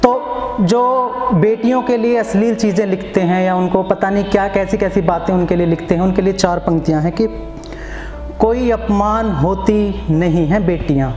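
High-voiced young man reciting poetry. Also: moderate pace, a little mellow, roomy sound, in a large hall, faint music behind. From Uttar Pradesh, India. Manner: declamatory, repeats lines for emphasis. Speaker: poet. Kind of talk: open mic poetry recitation. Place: small indoor open mic venue. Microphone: stand mic.

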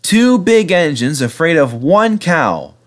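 A man's voice: sits high, flat delivery, a little slow, pitched above the performer's own voice. A man voicing a kindly, hardworking old steam engine in a children's storybook, with a puffing, fussy manner. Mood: amused. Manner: puffing, fussing, gently teasing.

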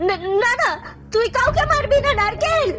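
Woman voicing a high-pitched, earnest magical moon hero. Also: moderate pace, fairly bright tone. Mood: pleading, worried. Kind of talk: cartoon voice acting. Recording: music under the voice.

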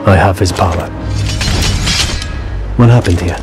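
An actor playing a grim, resolute warrior knight in a video game, speaking tersely and sternly. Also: male voice, low-pitched, measured pace. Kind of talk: dramatic video game acting. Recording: game cutscene with loud music behind.

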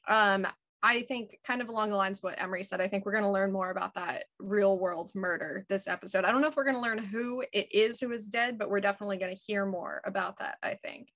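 A young woman talks casually on a video call panel. Her voice is bright and forward, with a playful way of talking. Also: medium pitch, fast talker. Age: young adult. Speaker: voice actor, panel guest. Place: room at home, video call.

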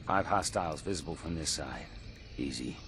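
An actor playing a gruff, grimly determined mutant soldier in a video game. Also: male voice, very deep voice, animated, moderate pace, noisy background, exaggerated voice. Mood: quiet, focused.

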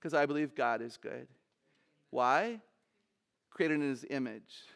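Woman in her sixties, low voice, animated humorous speaker, large stage, headset mic. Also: slow pace, vocal fry. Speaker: conference speaker.

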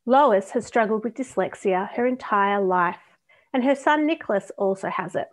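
A woman's voice: mid-range voice, moderate pace. A woman speaking as a podcast host, introducing and interviewing a guest.

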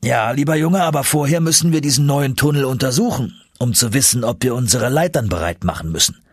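A man's voice: mid-range voice, lively intonation, brisk, breathy edge, no room sound, studio quality, voice pushed up. A man voicing a driven older professor and uncle in an audiobook, authoritative and urging. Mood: instructive.

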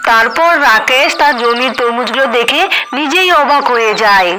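A woman's voice: fairly high pitch, normal speed, background noise. A woman reading scripted story narration for a cartoon, with music underneath.

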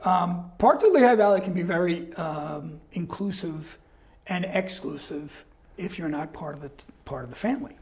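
An older man with a New York accent, a guest telling stories in a casual interview, animated and laughing often, acting out quoted voices. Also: sits high, moderate pace. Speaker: guest, guitar company rep. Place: small studio set with backdrop.

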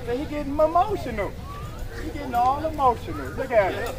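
High-pitched man preaching insistently on the street. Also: expressive, brisk. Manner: insistent, repeating short commands and challenges. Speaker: street preacher leading a debate. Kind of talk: combative street preaching and debate. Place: outdoor brick waterfront promenade. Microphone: camera a few steps away. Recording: outdoor street recording with background crowd noise.

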